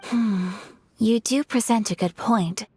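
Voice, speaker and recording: A woman voicing a refined, composed young noblewoman in a video game, formal, earnest and polite, with a soft, breathy voice. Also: fairly high pitch, takes it slowly, heavily acted. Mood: composed, thoughtful. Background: background music.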